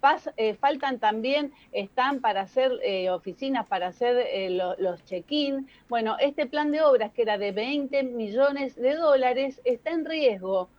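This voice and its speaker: A woman's voice: on the high side, expressive, unhurried, few pauses, dry recording, muffled recording. An Argentine woman giving conversational news commentary, explaining things and addressing listeners directly. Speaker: radio or TV news columnist.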